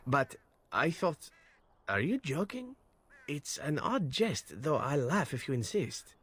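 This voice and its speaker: An actor playing a glib, charming captured assassin in a fantasy video game, wry and ornately polite. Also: male voice, a little high, big pitch swings, slow pace, a bit bright, breathy edge, full character voice. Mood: incredulous.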